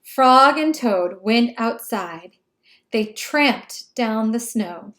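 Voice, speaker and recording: Slow, high-voiced woman reading a children's story. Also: lively intonation, a bit bright. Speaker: storybook reader. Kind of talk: children's read-aloud narration.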